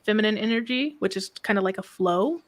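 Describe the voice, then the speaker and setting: A soft-spoken woman business coach talking calmly in a casual interview, often ending thoughts with a questioning "right?". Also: medium pitch, brisk. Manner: calm, reflective, frequent "right?" tags.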